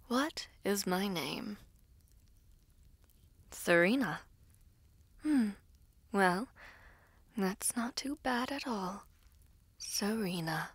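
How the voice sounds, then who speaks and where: A woman playing a poised, secretive female being in an audio roleplay, speaking formally and coyly in a breathy half-whisper. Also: medium pitch, fairly bright tone, no room sound, exaggerated voice. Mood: intrigued, coy. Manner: slow, composed, formal, coy. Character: mysterious poised female alter-being within Serena, teasing, secretive. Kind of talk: audio roleplay acting, addressing the listener.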